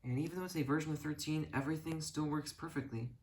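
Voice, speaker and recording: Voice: medium pitch, steady tone, quick pace, a little hiss or hum. A hobbyist giving a casual, unscripted show-and-tell indoors from behind a handheld camera, rambling and correcting themselves along the way. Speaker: hobbyist video creator. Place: indoors in a room at home. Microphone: handheld camera, speaker behind it.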